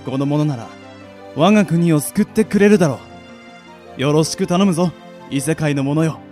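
A man's voice: on the high side, normal speed, darkish tone, soft background music, natural voice. A man in an amateur radio drama playing a benevolent king with grand, archaic royal speech. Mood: solemn welcome. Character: stately, benevolent fantasy king.

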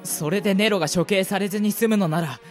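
A man acting a loyal, gentle young adventurer boy in an amateur radio drama, speaking earnestly and politely. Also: high voice, fast talker, soft background music, natural voice. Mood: defiant, earnest. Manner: soft-hearted, earnest, polite.

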